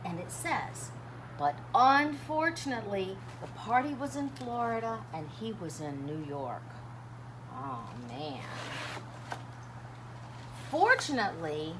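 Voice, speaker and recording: Expressive woman reading storytime, very lively pitch. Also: slow. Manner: expressive, dramatic, chatty with young listeners. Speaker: teacher reading a children's picture book aloud. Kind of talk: animated storytime read-aloud with commentary and questions. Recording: clearly noisy background.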